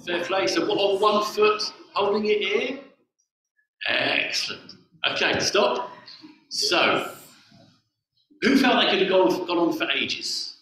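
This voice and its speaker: A man's voice: high voice, expressive, slow, pauses often, pushed and bright. A man in his forties, a vicar giving a playful all-age sermon and directing his congregation through games. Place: church hall with a lectern. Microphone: far camera on the floor. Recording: echoey church from far off.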